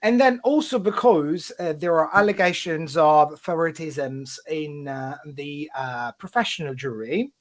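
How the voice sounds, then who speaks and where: A host chatting animatedly over a video call, gossipy and playful, with frequent hesitations. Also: male voice, fairly high pitch, unhurried, few pauses. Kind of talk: casual video call chat.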